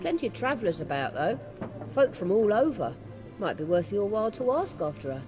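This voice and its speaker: An actress playing a brisk, welcoming village innkeeper in a video game cutscene, polite and matter-of-fact. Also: female voice, mid-range voice, big pitch swings, natural voice. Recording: game audio with music behind.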